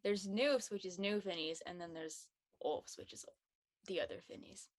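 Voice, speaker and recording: A woman's voice: mid-range voice, moderate pace. A woman chatting casually as a panelist in a group discussion. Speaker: panelist in a post-show cast discussion. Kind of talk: casual chat.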